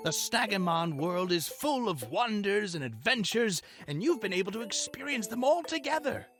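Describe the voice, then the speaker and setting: A cheerful creature-research professor from a video game, played by an actor, effusive and theatrical. The delivery swings widely in pitch, explaining things dramatically to a young trainer. Game music plays underneath. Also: fairly bright tone. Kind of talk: scripted visual novel character acting.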